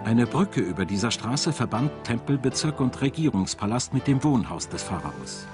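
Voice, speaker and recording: A man's voice: mid-range voice, very animated, moderate pace, over music. A man reading scripted narration for a documentary.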